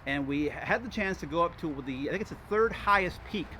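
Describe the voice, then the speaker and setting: A man's voice: on the high side, expressive, fairly quick, bright, forceful tone, slight background noise. An American man chatting casually and enthusiastically in a folksy way, as the host of a hobby vlog. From Wisconsin, United States. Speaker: host of a ham radio hobby channel. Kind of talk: casual vlog chat, reading out viewer responses.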